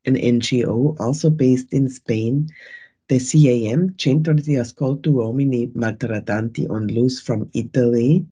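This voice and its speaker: A woman's voice: rumbling low, measured pace, dark tone, breathy edge. A woman with an Austrian German accent moderating an online event on a video call, upbeat and welcoming as she greets the audience.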